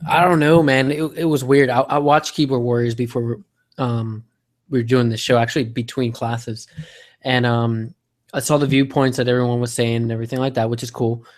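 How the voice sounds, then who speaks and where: A man's voice: medium pitch, little pitch movement, moderate pace. Man in casual podcast conversation. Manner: conversational, repeats himself. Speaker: podcast co-host. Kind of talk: casual chat.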